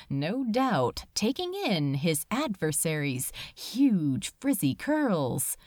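A woman giving a dramatic, expressive and measured reading of a scripted story. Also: a little high, no room sound, clean studio sound. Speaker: amateur audiobook reader.

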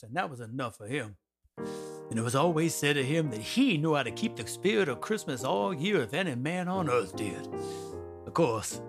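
A man's voice: medium pitch, close to an everyday voice. A man narrating a radio drama in a theatrical storytelling style. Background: music.

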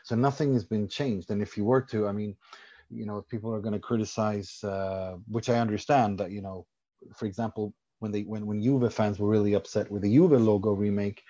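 A man's voice: fairly low pitch, steady tone, a little fast, warm tone. Assertive man on a dull lo-fi line. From Sweden. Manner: assertive, explains at length. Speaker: podcast guest, football journalist. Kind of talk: casual podcast discussion.

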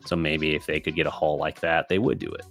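A man with a very deep voice runs his own sports podcast, reading out listener questions and talking them through. His voice has turned scratchy and a little raspy after a long session. Faint music plays behind him. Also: fairly even intonation, fast talker, fairly bright tone. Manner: thinking aloud, with restarts and fillers. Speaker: podcast host.

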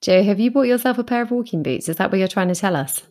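A woman's voice: medium pitch, animated, quick pace, darkish tone. Teasing woman podcast host. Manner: friendly, teasing, encouraging questions. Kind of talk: interview hosting.

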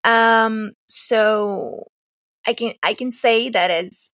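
A woman's voice: on the high side, steady tone, drawn-out words, fairly bright tone, no room sound. A young woman from Serbia, a native Serbian speaker, chatting as a podcast guest, hesitant and often laughing. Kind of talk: casual interview chat.

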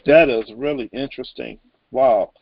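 An American man hosting a casual interview, asking questions in a halting, informal way. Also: mid-range voice, gravelly, no room sound, highs cut off. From Texas, United States. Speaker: interviewer, host.

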